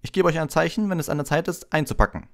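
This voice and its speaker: A man voicing a brisk, practical female herbology professor instructing her class in an audiobook. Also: a little high, pitched above the performer's own voice, natural voice. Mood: brisk, instructive. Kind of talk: audiobook character voice.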